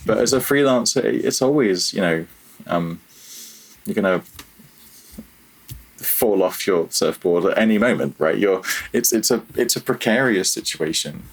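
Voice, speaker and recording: A man with a low, slightly rough voice talks casually as an interview guest. He thinks aloud as he goes, starting and restarting, and tends to play himself down. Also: expressive, low-quality recording. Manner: halting, self-deprecating, thinking aloud. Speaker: interview guest, freelance audio developer.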